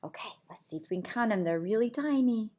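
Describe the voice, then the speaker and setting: A middle-aged woman reading a picture book to young children in a deliberate, sing-song way, with simple asides, recorded in a room at home. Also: a little high, expressive, darkish tone. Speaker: preschool teacher reading aloud. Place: small indoor room at home.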